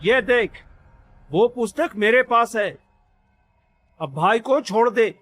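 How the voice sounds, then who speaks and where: A man's voice: extremely high pitch, lively intonation, takes it slowly, fairly bright tone, faint music behind, exaggerated voice. A man voicing a brave, kind-hearted young hero in a cartoon story, earnest and emphatic in his delivery. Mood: defiant. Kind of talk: Hindi cartoon story voice acting.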